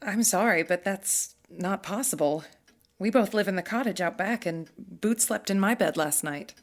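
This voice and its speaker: A woman's voice: mid-range voice, normal speed, soft background music, lightly acted. An actress playing a kindly guest-house keeper and protective mother in an audio drama, polite and reassuring. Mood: apologetic.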